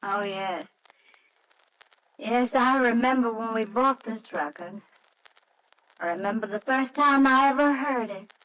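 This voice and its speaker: An actress playing a gentle, demure young wife wistfully recalling her courtship in an old-time radio drama. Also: on the high side, measured pace, pauses often, muffled recording. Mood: wistful, reminiscing.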